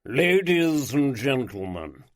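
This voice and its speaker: A man playing a flamboyant aristocratic lord with a rich baritone and an aristocratic drawl, speaking in slow, grand, emphatic phrases. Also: big pitch swings, no echo, studio quality. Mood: grandiose.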